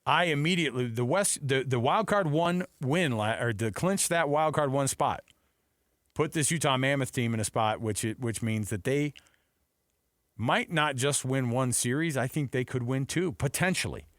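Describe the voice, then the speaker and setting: An American man with a medium-pitched voice co-hosts a sports talk show. He reasons out loud in a conversational, emphatic style, repeating phrases to press his point. The sound is dry and free of room echo. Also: normal speed. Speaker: sports radio co-host. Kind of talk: unscripted sports talk and analysis.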